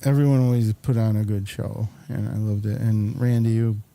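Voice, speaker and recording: A man hosting a casual talk show, speaking in a hesitant, halting way. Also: on the low side, fairly even intonation, vocal fry, dark tone. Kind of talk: casual conversational interview.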